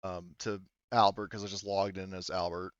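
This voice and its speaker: Male game master, deep-leaning and clean-toned, informal and profane, on a slightly dull voice chat. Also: flat delivery, moderate pace, dry recording. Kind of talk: casual chat running an online tabletop game.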